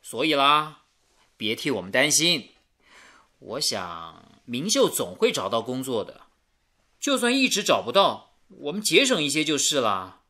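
An actor playing a principled, sensible young radio engineer in a radio drama, explaining things earnestly. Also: male voice, mid-range voice, very animated, unhurried, sharp tone, exaggerated voice. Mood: reflective.

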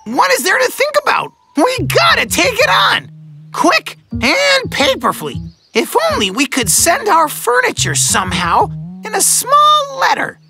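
An excitable little cartoon woodpecker, squeals in an extremely high, piercing voice that swoops wildly up and down. The delivery is theatrical and opinionated, stretching words for comic drama. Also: male voice, gravelly, faint music behind, heavily acted. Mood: agitated. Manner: exclamatory, theatrical, drawn-out words. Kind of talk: children's animated series voice acting.

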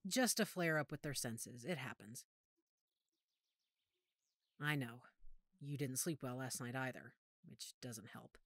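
A woman playing a knowledgeable werewolf pack member in an audio drama, speaking in a measured, authoritative, explanatory way. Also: extremely low pitch, fairly bright tone, no echo, clean studio sound, heavily acted. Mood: reassuring. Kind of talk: audio roleplay drama acting.

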